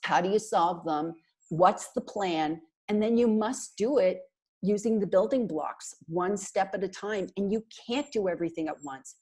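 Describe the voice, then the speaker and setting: Middle-aged Canadian-raised woman guest, husky and low, emphatic, chatty, speaking through a call headset. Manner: animated, talks with her hands, chatty and emphatic. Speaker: marketing consultant guest. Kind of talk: casual video call interview. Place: small bright room indoors. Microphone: earphone headset on a video call.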